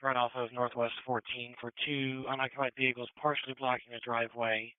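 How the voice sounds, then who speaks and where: A man's voice: medium pitch, level tone, a little fast, slight background noise. A man, a police officer, speaking in clipped messages over a two-way radio. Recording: tinny narrowband police radio scanner audio.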